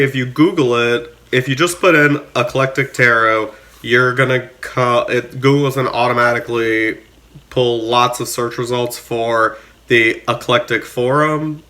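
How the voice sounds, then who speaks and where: A man giving a casual, unscripted review to camera as host, talking in a halting way with pauses mid-thought. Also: medium pitch, bright, forceful tone. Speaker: host of a tarot deck review channel.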